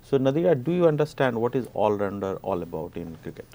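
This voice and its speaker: A man's voice: on the low side, sing-song, fast, vocal fry, warm tone. A man hosting a sports talk show, asking questions and patiently explaining the basics of the game. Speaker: show host. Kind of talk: interview hosting.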